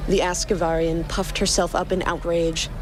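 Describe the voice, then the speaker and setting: A woman's voice: on the low side, little pitch movement, moderate pace, music in the background. A woman reading scripted fiction as the narrator of a podcast.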